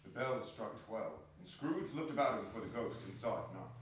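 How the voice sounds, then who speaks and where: A man's voice: on the low side, animated, moderate pace. A man narrating a story in a theatrical reading with dramatic, emphatic delivery. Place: theatre hall stage reading. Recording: echoey hall, distant mic, some noise.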